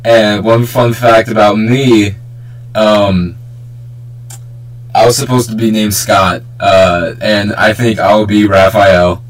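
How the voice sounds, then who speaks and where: A man's voice: fairly low pitch, fairly even intonation, takes it slowly, poor audio. A young man hosting a casual, joking group podcast chat with plenty of banter. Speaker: podcast host. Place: remote group call.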